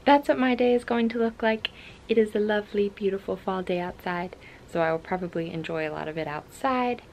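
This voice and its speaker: A woman in her twenties talks to her camera at home in an informal, enthusiastic vlog style. Her voice sits at a medium pitch, with faint noise in the room around her. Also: brisk, gravelly, darkish tone. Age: 20s. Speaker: book vlogger. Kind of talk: casual vlog chat. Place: at home, mostly in a bedroom.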